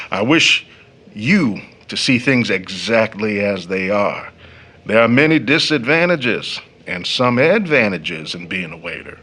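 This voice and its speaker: A proud young man, an educated waiter turned bitter philosopher, in an audio play. His voice is forceful and bright, with a lively, swooping delivery. He speaks deliberately, with wry irony. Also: lowish voice, husky, heavily acted. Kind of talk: audio drama stage monologue.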